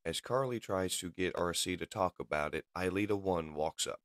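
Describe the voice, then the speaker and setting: A man's voice: deep voice, normal speed, a bit bright, no echo, studio quality. A man giving dramatic scripted narration as the storyteller of a comic book reading.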